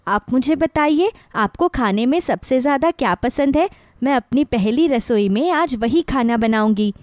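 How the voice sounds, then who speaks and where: Woman acting a young daughter-in-law, high voice, sweet, respectful, eager to please, storybook dialogue. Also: expressive, normal speed, darkish tone, no room sound. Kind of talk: acted dialogue in a moral story.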